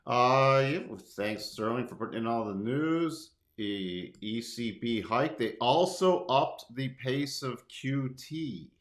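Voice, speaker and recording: A man hosts a live financial stream in a casual, conversational way. He takes his time, with a mid-pitched voice, and repeats phrases to stress them. The sound is roomy and echoing. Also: animated, takes it slowly, hardly any pauses. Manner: conversational, greets viewers, repeats key phrases for emphasis. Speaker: livestream host, market analyst.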